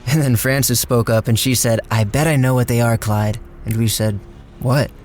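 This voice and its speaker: A man's voice: mid-range voice, fairly even intonation, normal speed, darkish tone, faint music behind, close to an everyday voice. An actor playing an easygoing handyman with a folksy, rambling way of telling his shady story in a radio drama. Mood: reflective, plainspoken. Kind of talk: radio drama first-person narration and dialogue.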